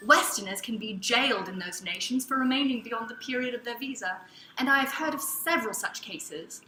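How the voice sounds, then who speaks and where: A woman's voice: a little high, fairly quick, bright, forceful tone. A woman playing a smug, unapologetic middle-class male traveler, pompous and flippant, in a staged reading. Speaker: performer in a dramatic reading of emails. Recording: echoey room, faint background noise.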